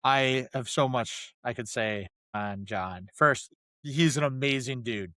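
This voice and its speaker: A man's voice: medium pitch, takes it slowly, sharp tone, no room sound, clean studio sound. A man co-hosting a casual film discussion podcast, talking emphatically and repeating phrases for stress. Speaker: podcast co-host.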